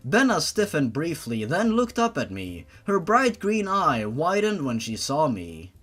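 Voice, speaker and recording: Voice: mid-range voice, wildly swooping pitch, unhurried, fairly bright tone. A narrator reading fan fiction dramatically, with a theatrical, sarcastic manner. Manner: theatrical, sarcastic, sweary outbursts. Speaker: narrator and commentator.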